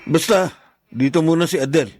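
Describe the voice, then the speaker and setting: An actor playing a stubborn, insistent husband in a radio drama. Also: male voice, fairly high pitch, a little fast, darkish tone, no room sound, lightly acted. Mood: stubborn, defensive. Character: stubborn husband insisting on his family's rights.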